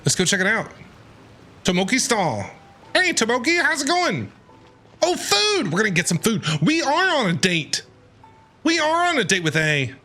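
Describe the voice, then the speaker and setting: A man's voice: very high voice, wildly swooping pitch, moderate pace, pauses often, pushed and bright, soft background music. A man reacting casually to a game as he streams, chatty and reassuring. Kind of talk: casual gameplay reaction commentary.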